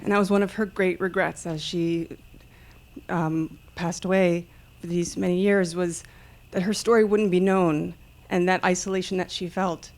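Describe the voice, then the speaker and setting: A woman with a slightly low, even-toned voice shares personal testimony from the audience at a public event. She is reflective and halting, speaking without a script. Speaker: audience member. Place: live event space with an audience.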